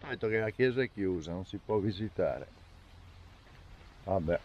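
A man's voice: low-pitched, animated, normal speed. A middle-aged man, chatting casually for a travel vlog. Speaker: travel vlogger. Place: outdoors and inside a camper. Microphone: handheld camera mic. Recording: muffled, noisy camera audio.

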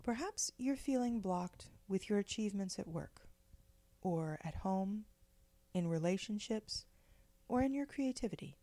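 A woman leading a guided meditation in a gentle, measured, soothing way, with pauses between phrases. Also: mid-range voice, expressive, no room sound. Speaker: guided meditation leader, spiritual director.

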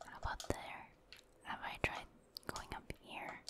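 A woman speaks entirely in a soft, breathy whisper. She narrates her gameplay in an ASMR style, thinking aloud without hurry and addressing viewers casually. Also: unhurried. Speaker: game streamer, ASMR creator.

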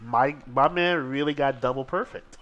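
A man co-hosting a live fighting-game stream, commentating matches and reading chat aloud, excitable and quick to laugh. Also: medium pitch, dull audio. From Seattle.

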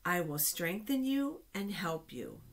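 A middle-aged woman hosting a children's storytime, reading aloud with deliberate, expressive phrasing and pauses. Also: lowish voice. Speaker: children's storytime host at a church. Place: small indoor office.

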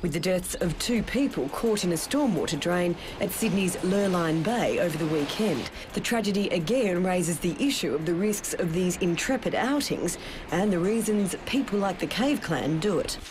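A woman's voice: fairly low pitch, animated, normal speed, background noise. Woman narrating a TV news feature. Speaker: reporter. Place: studio voice-over.

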